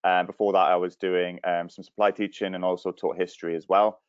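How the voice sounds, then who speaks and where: Deep-voiced man with a slight Welsh accent. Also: level tone, dry recording, dull audio. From Wales. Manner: quick, casual, with ums. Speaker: education consultant.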